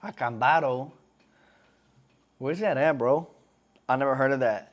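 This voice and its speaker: A man's voice: mid-range voice, unhurried. A man in his twenties chatting casually on a live stream in slangy talk, often calling viewers "bro". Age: 20s.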